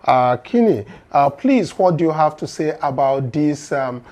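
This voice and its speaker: A man's voice: a little high, lively intonation, unhurried, darkish tone. Man, host, hesitant, lots of ums and uhs. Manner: hesitant, many fillers. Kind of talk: hosting an online discussion.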